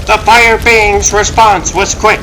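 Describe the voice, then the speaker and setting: A man's voice: high-pitched, flat delivery, takes it slowly, background noise. A man giving scripted, dramatic narration of a superhero comic.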